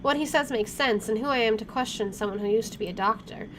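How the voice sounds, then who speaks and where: A woman's voice: on the high side, quick pace. A woman game streamer reading visual novel narration aloud, with her own asides. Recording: clearly noisy home recording.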